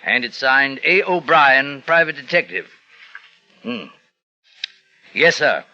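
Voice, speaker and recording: Brisk, sharp-toned inspector, vintage radio. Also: male voice, mid-range voice, very animated, exaggerated voice. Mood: businesslike. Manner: clipped, official, reading a letter aloud on the phone. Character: brisk male Scotland Yard inspector. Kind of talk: 1940s radio acting. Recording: dull old radio recording with music.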